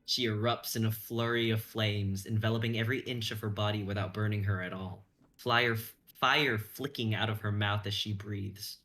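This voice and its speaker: Young guy w a lowish voice reading out a story, intense and suspenseful. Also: fairly even intonation, moderate pace, pushed and bright. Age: young adult. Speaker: stream host and narrator. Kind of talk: dramatic reading of narration.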